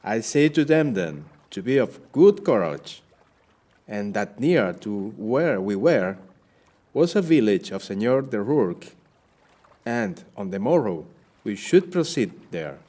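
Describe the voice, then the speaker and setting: A man's voice: lowish voice, big pitch swings, takes it slowly, warm tone, full character voice. An actor playing a weary, devout shipwrecked Spanish captain in an audio play, telling his story formally and dramatically. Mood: earnest, devout. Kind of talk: audio play monologue and dialogue.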